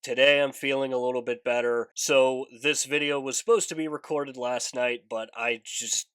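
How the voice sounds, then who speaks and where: A man's voice: medium pitch, a bit bright, dry recording. A man with a congested nose, hosting a casual video preview of upcoming matches, earnest and chatty, leaning on stressed words. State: congested, recovering from a fever. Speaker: wrestling preview channel host. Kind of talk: casual vlog commentary, breaking down upcoming matches.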